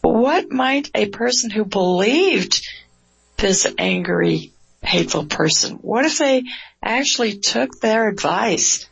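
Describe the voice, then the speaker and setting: A woman hosting a radio interview show. She speaks slowly and warmly, with hesitant fillers and frequent laughter. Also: mid-range voice, expressive, gravelly, breathy edge. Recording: dry, dull lo-fi radio broadcast.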